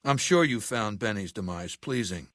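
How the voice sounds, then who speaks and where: A man playing an aging, imperious warlord dictator in a video game, with a measured, commanding and menacing manner. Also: lowish voice, animated, a bit bright, no room sound, studio quality. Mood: cold, commanding. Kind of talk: video game character dialogue.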